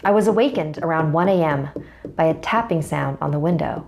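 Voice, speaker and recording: A woman's voice: sits low, fairly quick, gravelly, a little mellow, slight background noise. A woman narrating a scripted first-person horror story as a storyteller. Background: music.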